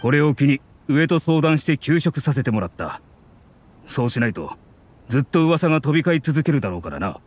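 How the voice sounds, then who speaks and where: A man's voice: medium pitch, sing-song, darkish tone, breathy edge. Stern, reserved middle-aged scholar father. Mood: reserved, matter-of-fact. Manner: stiff, measured, restrained. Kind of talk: Japanese anime game dub.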